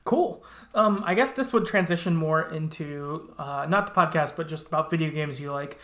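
A man's voice: on the high side, normal speed, fairly bright tone. A man hosting a podcast in casual, agreeable, easygoing conversation. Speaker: podcast host. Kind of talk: casual chat. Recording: roomy, echoey sound.

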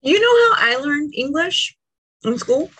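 A woman in her forties, a native Spanish speaker, co-hosting a podcast in a jokey, animated way and laughing often. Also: sits high, unhurried, poor audio. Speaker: podcast co-host. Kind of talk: casual chat.

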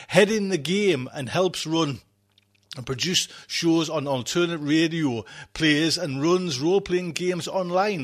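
Male podcast host, fairly high voice, chatty, rambling introduction, repeats himself.

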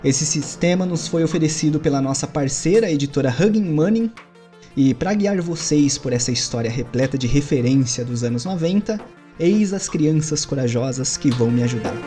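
Dramatic male storyteller over soft music. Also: on the high side, expressive. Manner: dramatic, deliberate storytelling, slows for suspense. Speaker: narrator and game master. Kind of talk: scripted audio drama narration. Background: faint music.

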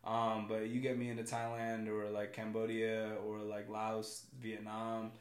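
A man's voice: on the low side, completely flat delivery, measured pace, reverberant. A man from California, a guest on a casual podcast, talking in a rambling way with lots of fillers and repeated words.

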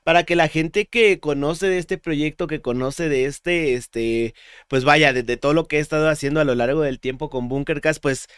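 A man with a Mexican Spanish accent hosting a podcast interview, relaxed and slangy, with many fillers. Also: a little high, moderate pace, clean tone, no room sound, clean studio sound. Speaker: podcast host. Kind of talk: casual interview chat.

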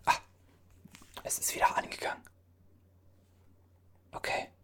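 A performer whispering as a bewildered time traveler from an older era, puzzling over strange objects in a halting voice full of restarts. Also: a bit bright, lightly acted. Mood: puzzled. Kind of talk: whispered ASMR roleplay.

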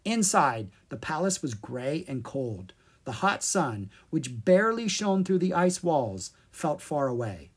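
A woman narrates a children's storybook in a low, slightly raspy voice. Her delivery is expressive and lively, with pitch that moves freely through the sentences. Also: unhurried. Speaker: storyteller reading a children's book.

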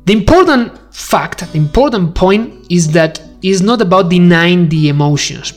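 A man's voice: sits high, lively intonation, moderate pace, soft background music. A man from Spain with a Spanish accent, hosting a podcast as a conversational, educational monologue, speaking emphatically and putting rhetorical questions straight to the listener. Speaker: podcast host.

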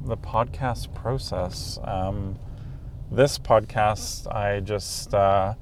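A man with a bassy voice talking casually about his podcast on a lapel mic, halting with many ums. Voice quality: bassy deep voice. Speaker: podcast host. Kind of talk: casual solo talk. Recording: loud background noise.

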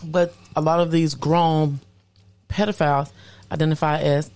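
A woman's voice: sits low, little pitch movement, moderate pace, a little mellow. A woman co-hosting a podcast in casual talk, adding dry, sarcastic remarks. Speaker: podcast co-host.

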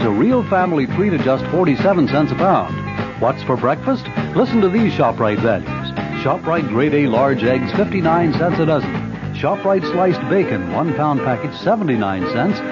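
A dull old radio spot with music behind a man's fairly high voice. He is an announcer delivering scripted retail copy with very lively rises and falls. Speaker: commercial announcer.

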